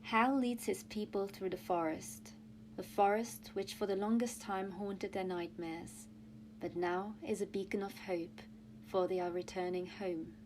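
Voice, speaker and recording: A woman's voice: medium pitch, steady tone, measured pace, darkish tone, natural voice. A woman giving solemn, scripted story narration in an audio drama, with a faint hum behind her.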